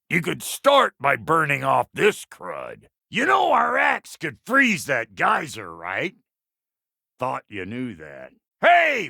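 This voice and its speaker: A man voicing a gruff, impatient, foul-mouthed dwarf blacksmith in a video game, with a gravelly, raspy voice and folksy, irreverent talk. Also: sits high, bright, forceful tone, dry recording, clean studio sound, full character voice. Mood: brisk, hollering. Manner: folksy, irreverent, colloquial grammar. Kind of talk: video game character dialogue.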